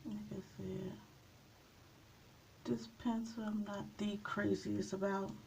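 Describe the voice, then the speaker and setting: A woman chatting casually through a makeup tutorial, her voice slightly raspy from a coming cold, unhurried and trailing off with small pauses. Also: medium pitch, little pitch movement, darkish tone, slight background noise, low-quality recording. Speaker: beauty vlogger.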